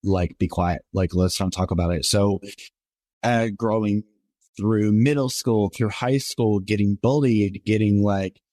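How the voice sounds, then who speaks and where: A man's voice: fairly even intonation, a little slow, warm tone, dry recording. Earnest young man telling his story. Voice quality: somewhat high voice for a man. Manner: rambling, filler-heavy, earnest. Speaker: podcast guest. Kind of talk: personal storytelling interview.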